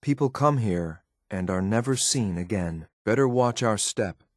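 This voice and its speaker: An actor playing a stern, loyal synth operative in a video game, speaking in a clipped, formal, restrained military manner. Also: male voice, on the low side, lively intonation, measured pace, no echo, clean studio sound. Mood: guarded, observant. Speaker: companion character in a video game. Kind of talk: scripted game voice lines.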